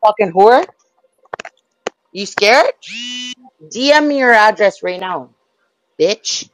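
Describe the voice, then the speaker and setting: Slow, swearing woman hurling taunts. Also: mid-range voice, lively intonation, low-quality recording. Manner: aggressive, profane, laughing taunts. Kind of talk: heated insult exchange in a live audio chat room.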